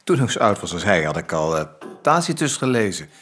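A man's voice: on the low side, fairly quick, soft background music. An actor playing a pompous, talkative father in a radio drama. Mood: impatient. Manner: pompous, lively.

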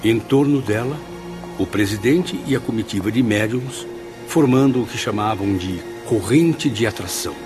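A man's voice: lowish voice, normal speed, over music, natural voice. An actor with a Brazilian accent playing an awed, inquisitive, courteous man new to the spirit world in a radio drama. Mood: reflective. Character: Camilo, awed, inquisitive deceased newcomer, reflective and courteous.

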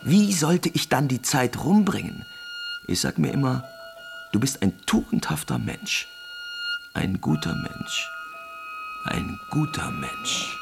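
Pompous, wildly swooping army captain. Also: male voice, sits high, wildly swooping pitch, slow pace, gravelly, long pauses, over music, exaggerated voice. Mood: self-righteous, wistful. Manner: pompous, moralizing, erratic, dwelling on repeated words. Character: pompous, anxious army captain, melancholic and condescending. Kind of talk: theatrical German radio drama acting.

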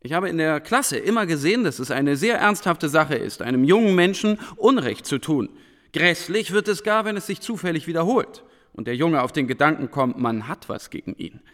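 A man's voice: on the high side, very animated, moderate pace, fairly bright tone, big-room sound. A man in a radio play acting an earnest, brooding schoolteacher who questions and reflects with intensity. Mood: agitated. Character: brooding, earnest male schoolteacher, fascinated and indignant. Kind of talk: German radio drama dialogue.

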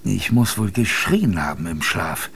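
An actor playing a male polar expedition survivor, narrating his story dramatically in first person, halting and repeating phrases. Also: deep voice, big pitch swings, gravelly, a bit bright, slight background noise, exaggerated voice. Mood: reflective, hopeful.

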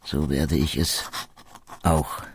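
A man reading the quoted letters of a young engineer in an audiobook, measured and reflective. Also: very deep voice, steady tone, noisy background.

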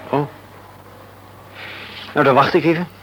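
A man's voice: a little high, steady tone, a little slow, slight background noise, lightly acted, rough recording. An actor playing a polite, mild-mannered man worried about his sick niece, in a radio play.

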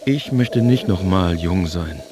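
A weary, detached man muses in a low voice. It is a laconic radio play monologue, with music loud behind him. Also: lively intonation, slow pace. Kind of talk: first-person radio drama monologue.

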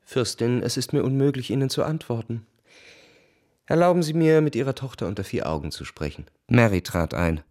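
A man's voice: mid-range voice, lively intonation, a little fast, a little mellow, no echo, clean studio sound, lightly acted. An actor playing a proud, world-weary young Russian officer who narrates his own story dramatically in a radio play. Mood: restrained, somber.

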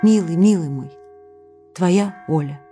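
An actress playing a devoted young wife who reads her intimate, expressive letters to her husband in a radio drama. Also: lowish voice, takes it slowly. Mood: weary, affectionate. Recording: music behind the voice.

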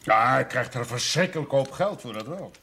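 An actor playing a gruff, impatient, middle-aged country father in a television period drama, blunt and grumbling. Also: mid-range voice, animated, brisk, pushed and bright. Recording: noisy older TV soundtrack, clinking tableware.

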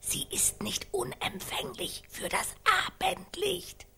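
An actress in a radio play voicing a woman prompter who slowly and insistently whispers lines in a breathy voice. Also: extremely high pitch, sing-song, bright, forceful tone, exaggerated voice. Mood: hushed, insistent.

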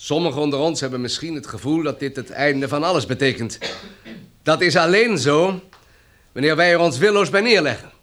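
A grave male chairman in a radio play, speaking to survivors of a disaster. His voice sits fairly high, and he speaks in composed, measured, formal phrases. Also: fairly bright tone. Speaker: actor in a radio drama. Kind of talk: radio drama acting, formal speech.

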